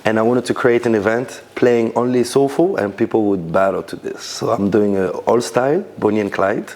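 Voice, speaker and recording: Man, low voice, gravelly, rambling restarts, casual interview. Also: normal speed, a little mellow. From Senegal, raised in France. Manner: loose, searching phrasing with many restarts. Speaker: dancer, DJ and event founder.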